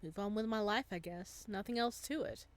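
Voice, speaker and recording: A woman's voice: medium pitch, normal speed, natural voice. A woman reading visual novel dialogue as a guilt-ridden, hesitant young man who is apologetic and defeated. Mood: resigned.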